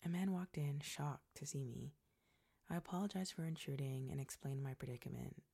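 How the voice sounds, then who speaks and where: A woman narrating a personal story in a soft, breathy, measured way. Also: rumbling low.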